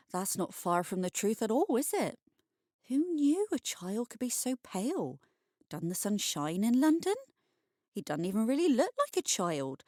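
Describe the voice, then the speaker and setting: A woman narrating a scripted bedtime short story for adults, expressive and wry. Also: mid-range voice, dry recording, studio quality.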